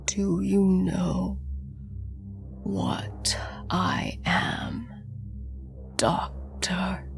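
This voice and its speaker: A woman voicing a calm, ancient male ghoul with a raspy whisper in a storybook horror tale. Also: lowish voice, faint music behind, full character voice. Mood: calm menace. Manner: slow, calm, breathy whispering.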